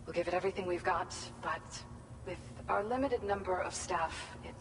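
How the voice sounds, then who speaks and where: A woman's voice: lowish voice, moderate pace, natural voice. A woman playing an earnest, grateful researcher in a game cutscene, talking in a heartfelt, chatty way. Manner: earnest, warm-hearted, talkative. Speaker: researcher at a facility. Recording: noisy.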